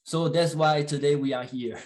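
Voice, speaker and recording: Man preaching, english not his first language, slightly high voice, halting delivery, frequent fillers. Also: steady tone, a little mellow. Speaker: guest church leader. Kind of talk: sermon in English with live interpretation.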